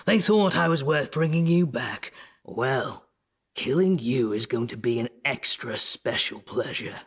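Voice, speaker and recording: A man's voice: medium pitch, expressive, measured pace, breathy edge. An actor playing a smug, taunting male traitor who savours his words theatrically in a sci-fi audio drama. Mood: gloating.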